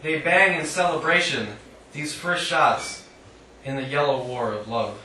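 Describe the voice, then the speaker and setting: Male poet, live reading, wry delivery, slightly high voice, recorded at a distance with strong room echo. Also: measured pace, bright, forceful tone. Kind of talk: live poetry reading with casual asides. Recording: roomy, distant mic, faint noise.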